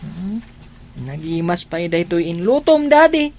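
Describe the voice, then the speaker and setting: A woman's voice: fairly low pitch, big pitch swings, a little slow, a little mellow, a little hiss or hum. A woman with an Ilocano accent playing an innocent young child in a radio drama, with simple, pleading speech. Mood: innocent, complaining.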